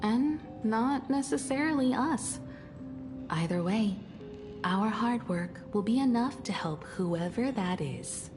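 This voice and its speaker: A composed, mature woman who speaks slowly with wide, lilting swings of pitch. She is devoted, reassuring and faintly teasing, like an anime game companion, over clear background music. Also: lively intonation. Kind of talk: anime-style game voice acting.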